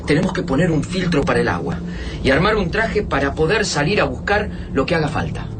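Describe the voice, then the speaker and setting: A man's voice: on the high side, big pitch swings, fairly quick. An actor with an Argentine accent playing a practical, wary man bracing for survival in a radio drama. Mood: urgent, practical. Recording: clearly noisy radio play track.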